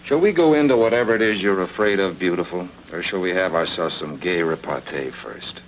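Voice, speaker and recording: An actor playing a cool, wisecracking, world-weary private detective in clipped old-time radio noir style. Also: male voice, deep voice, expressive, brisk, slight background noise, poor audio. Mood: sardonic.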